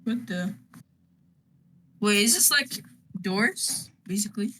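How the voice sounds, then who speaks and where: A woman's voice: medium pitch, expressive, slow pace, sharp tone, a little hiss or hum. A woman chatting casually while playtesting a game, speaking in brief reactions and questions. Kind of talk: casual chat while playing. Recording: music under the voice. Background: music.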